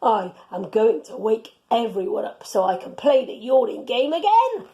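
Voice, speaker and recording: A woman's voice: on the high side, sing-song, moderate pace, mellow, muffled recording. A woman voicing a playful, mischievous male dog in a children's storybook. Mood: delighted. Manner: sleepy, exaggerated yawning delivery.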